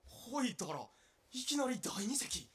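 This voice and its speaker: A woman's voice: on the high side, very animated, moderate pace. A woman voicing a deferential, easily startled young follower in a period game drama. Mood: surprised, hesitant.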